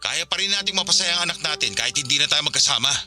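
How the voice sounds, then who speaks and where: A man's voice: on the high side, lively intonation, very fast, sharp tone, faint music behind. An actor playing a firm, exasperated father who has moved on from his ex, curt, in a Filipino radio drama with a Filipino accent. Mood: firm, apologetic.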